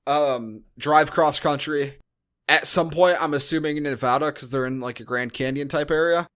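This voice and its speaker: A man's voice: a little high, moderate pace, a bit bright. A man hosting a podcast, recapping a movie in casual chat with dry, sarcastic asides. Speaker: podcast host.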